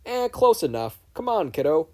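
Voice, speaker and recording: A man voicing a gruff, easygoing old great-uncle in a story, offhand and comforting. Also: on the high side, big pitch swings, moderate pace, dark tone, voice pushed up.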